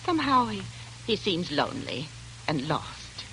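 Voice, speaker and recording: Flustered, swooping older aunt. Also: female voice, fairly low pitch, sing-song, a little slow, background noise. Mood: sympathetic. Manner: flustered, hesitant. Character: fussy, nervous, soft-hearted older aunt. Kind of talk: 1940s radio drama acting. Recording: old radio broadcast, loud music.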